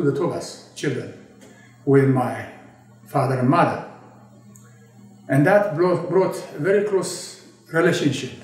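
A man in his eighties from Ethiopia, slowly and thoughtfully recalling his childhood in an oral history interview. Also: fairly high pitch. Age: 80s. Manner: slow, measured, reflective, with pauses and restarts. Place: indoor studio with a draped backdrop. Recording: very echoey room, voice from a distance.

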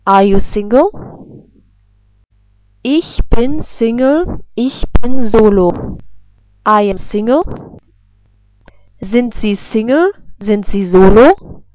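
A woman giving a scripted language lesson as a teacher, reading example phrases with careful, deliberate enunciation. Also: on the high side, lively intonation, a little mellow, dry recording, muffled recording.

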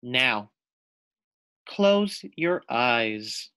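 A man voicing a small male lizard in a storybook, calm and unbothered, speaking in a slow, measured way. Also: mid-range voice, clean tone, no echo, full character voice. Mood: calm, instructive.

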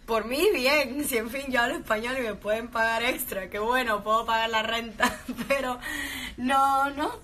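A young woman with a slightly high, bright voice and a Cuban Spanish accent, talking into a phone held close. She chats loosely and easily, full of "um" and "like", switching between Spanish and English. She sounds like she is live-streaming from her bedroom. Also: a little hiss or hum. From Venezuela. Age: young adult. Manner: chatty, lots of fillers, laughs often. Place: bedroom indoors.

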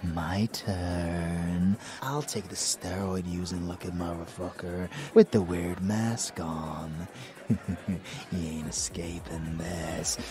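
A man's voice: sits low, steady tone. A man voicing a rebellious, murderous vampire lover in an ASMR roleplay, doting and gleefully sadistic, with a crude, seductive murmur. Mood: cocky, amused. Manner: slow seductive murmur, crude, savoring words. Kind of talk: intimate ASMR roleplay audio drama. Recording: loud club music behind voice. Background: nightclub dance music.

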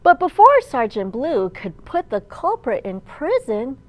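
A woman in her thirties, a children's librarian, telling a picture-book story in an animated, clearly enunciated way. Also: high-pitched, a little slow. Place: large indoor library room.

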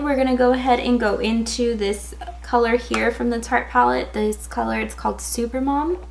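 A young woman in her twenties with a raspy, slightly hoarse voice, chatting casually through a makeup tutorial with lots of "um" and "like". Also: fairly high pitch. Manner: casual, chatty, many fillers like "um" and "like". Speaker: beauty vlogger. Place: indoors, in front of a gold sequin backdrop.

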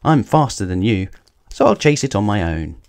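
A man's voice: fairly low pitch, sing-song, normal speed, a little mellow. A man voicing an eager, boastful young tank engine in a children's storybook, with exclamatory, emphatic delivery. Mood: confident, determined.

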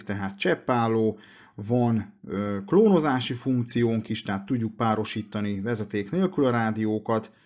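A man's voice: lowish voice, a little mellow. Man, casual product walkthrough. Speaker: product presenter.

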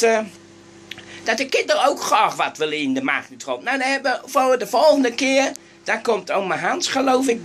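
A woman's voice: a little high, slight background noise, close to an everyday voice. A woman playing a scatterbrained elderly woman who hosts a microwave cooking show, rambling and forgetful with many sighed exclamations. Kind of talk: comedic improvised sketch.

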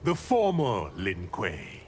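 A sinister undead sorcerer for a fighting game. He is sly and theatrical, drawing out his words with lively swoops over music. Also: fairly low pitch, slow, heavily acted. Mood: sly, threatening. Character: sly undead male sorcerer, cold-blooded soul-stealing villain. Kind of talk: fighting game character dialogue.

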